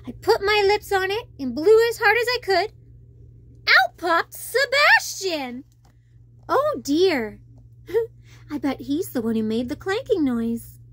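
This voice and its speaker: Female children's storyteller, high voice, slow and very expressive reading. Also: slight background noise.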